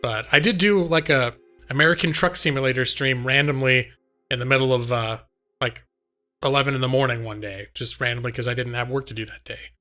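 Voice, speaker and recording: A slightly hoarse man streaming a game live, chatting casually and ramblingly to his viewers. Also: medium pitch, fairly even intonation, fairly quick, sharp tone, faint music behind. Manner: rambling, conversational, addresses chat directly. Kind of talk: casual chat with viewers while gaming. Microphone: close microphone on a boom arm.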